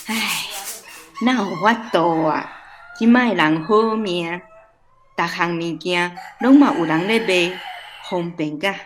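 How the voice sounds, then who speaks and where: A woman's voice: lowish voice, slow pace, a little hiss or hum. An actress playing a chatty, traditional old village grandmother with a Taiwanese accent in a radio drama, talking in a folksy way. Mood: resigned, opinionated.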